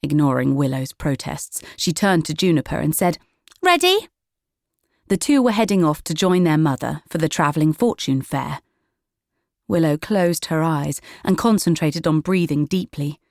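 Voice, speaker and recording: A woman narrates a children's audiobook. Her voice sits low, and the recording is dry with no room around it. She reads scripted storybook prose. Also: normal speed, creaky, darkish tone, no room sound.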